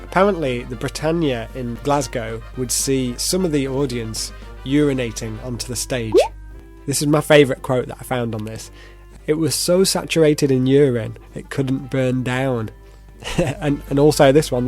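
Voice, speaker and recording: A man's voice: medium pitch, normal speed, a little mellow. Playful man presenting over music. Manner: theatrical, playful. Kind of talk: video essay narration with playful asides.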